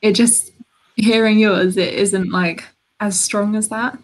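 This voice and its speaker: A woman's voice: mid-range voice, fairly even intonation, low-quality recording. A young woman chatting casually on a video call, supportive and encouraging. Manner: supportive, encouraging, quick with mm-hmms. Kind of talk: casual chat on a live stream.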